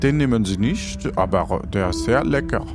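A man playing a hospitable but easily offended man-eater in an improvised audio drama, speaking formally and haltingly. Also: lowish voice, expressive, music in the background, lightly acted. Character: touchy, hospitable male man-eater serving dubious food. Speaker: game master.

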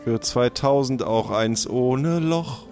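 A man acts out a formal, secretive merchant in a pirate audio adventure. He speaks very slowly in a mid-pitched voice, pausing deliberately, with light music behind him. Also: darkish tone. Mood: sly, haggling. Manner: deliberate, formal, drawn-out pauses. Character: cagey, formal, haggling male shopkeeper. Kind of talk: improvised pirate adventure role-play.

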